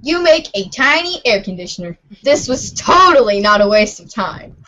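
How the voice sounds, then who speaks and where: Very high-pitched playful woman narrator. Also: very animated, moderate pace, bright, forceful tone. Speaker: narrator, regular of the show. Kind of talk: scripted narration in amateur reader's theater. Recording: muffled lo-fi home recording.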